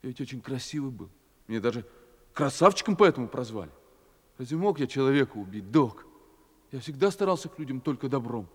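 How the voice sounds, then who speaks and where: In a long, echoing hall, a frightened male prisoner in a stage-like drama. He is naive and rambling, pleading in colloquial speech at a middling pitch. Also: very animated, fairly quick, slightly rough. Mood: plaintive. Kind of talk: theatrical dramatic acting in Russian. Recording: long reverberant hall echo.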